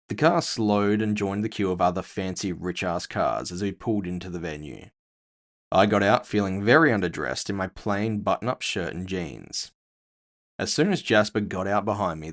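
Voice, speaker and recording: A man narrating scripted first-person fiction with a wry storytelling manner. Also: sits low.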